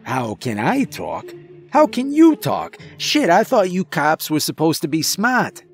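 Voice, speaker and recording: A cheeky male skunk, played by a male narrator in a story, speaking with a heavy accent. He is rude, indignant and sarcastic, a brash wise guy whose pitch jumps all over. Faint music sits behind him. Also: huge pitch swings, slow, breathy edge, heavily acted. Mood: annoyed, sarcastic. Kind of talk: audiobook character voice.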